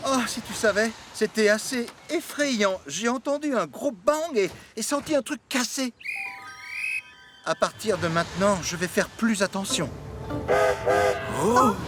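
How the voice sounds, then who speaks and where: Young cartoon locomotive, earnest and nervy, high voice, very lively, loud music behind. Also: male voice, measured pace, pauses often. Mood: worried. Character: young, earnest, anxious fast steam locomotive. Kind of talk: French children's cartoon dub.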